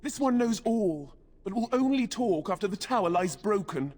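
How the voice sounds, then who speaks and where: A woman's voice: mid-range voice, lively intonation, normal speed, mellow, natural voice. An actress voicing a menacing demon in a woman's body for a video game, haughty and archaic in manner. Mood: commanding. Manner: archaic, haughty, speaks of itself in third person. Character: menacing demon possessing a dead female warden commander.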